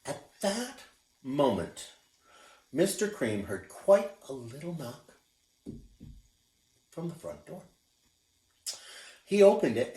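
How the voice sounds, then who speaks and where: A man reading a picture book aloud to children as a teacher, expressive and encouraging, pointing out the pictures. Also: mid-range voice, distant mic. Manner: expressive, encouraging storyteller who shows pictures. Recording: echoey room.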